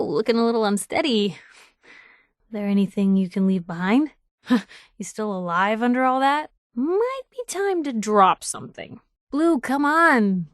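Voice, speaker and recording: A woman's voice: on the high side, no echo, studio quality, natural voice. An actress playing a sardonic, plucky young woman reporter in a video game, with wry, conversational quips. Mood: teasing concern. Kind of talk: video game companion voice acting.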